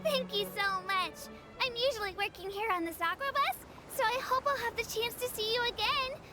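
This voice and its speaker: A woman's voice: very high-pitched, fairly quick, music in the background. A woman voicing a tiny, sweet female creature guide in a video game, polite, eager and childlike. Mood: grateful. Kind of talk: video game cutscene voice acting.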